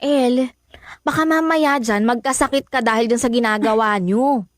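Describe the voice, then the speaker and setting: A woman's voice: on the high side, moderate pace, no echo, clean studio sound, lightly acted. An actress playing a blunt young woman who scolds her best friend, in a radio drama. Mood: scolding.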